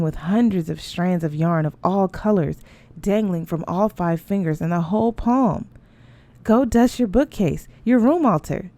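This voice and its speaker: A woman reading a novel aloud, narrating and giving the characters lively voices that rise high in their dialogue. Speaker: audiobook reader.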